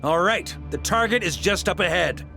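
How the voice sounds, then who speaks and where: An actor playing a confident, easygoing veteran knight grandmaster in a video game, commanding and hearty. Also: male voice, sits high, moderate pace, creaky, pushed and bright, soft background music, full character voice. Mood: relaxed, friendly. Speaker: military commander.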